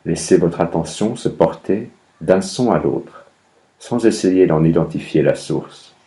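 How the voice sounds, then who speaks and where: A man with an extremely low, dark voice leads a guided yoga nidra session. He gives calm, measured instructions at a very slow pace, leaving long pauses between phrases.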